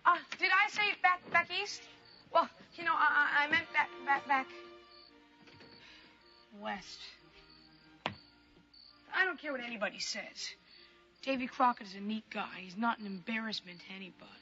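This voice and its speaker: Cheeky young boy, high-pitched, informal, TV tall tale, dull soundtrack with music. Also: moderate pace, pauses often, bright, forceful tone. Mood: defiant. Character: plucky, cheeky young boy, loyal admirer. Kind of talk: television tall tale acting.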